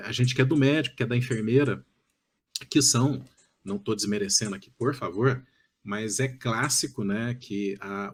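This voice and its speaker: A man in his early fifties with a Brazilian accent and a husky edge to his voice. He hosts a conversational podcast, speaking at a medium pitch in wordy, effusive turns peppered with little check-in questions. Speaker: podcast host.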